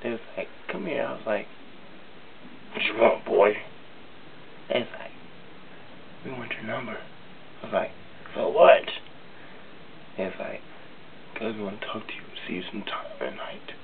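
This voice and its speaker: A man's voice: fairly low pitch, normal speed, gravelly, long pauses. A man voicing a sassy, flamboyant young male cousin who tells a boastful, tough story in a comedy skit, recorded in a basement. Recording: tinny lo-fi audio with hiss.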